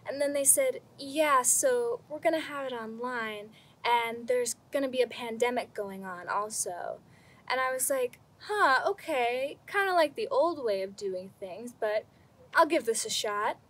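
A woman's voice: a little high, a little slow. A young woman giving a self-mocking, comic graduation speech, recorded on a camera mic outdoors in a home garden. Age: young adult. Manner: deadpan self-mocking humor. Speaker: senior class speaker.

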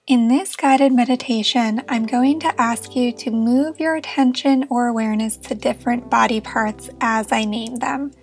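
High-voiced woman guiding a meditation. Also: fairly even intonation, normal speed, hardly any pauses. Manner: gentle, reassuring, encouraging. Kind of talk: guided meditation narration.

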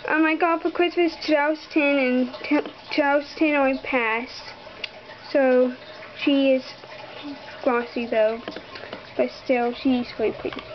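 A young girl giving a casual, halting tour of her toy horse collection, with many ums, talking straight to viewers. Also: high voice, fairly even intonation, highs cut off, noisy background. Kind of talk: casual barn tour narration. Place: bedroom. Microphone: handheld camera close to the floor.

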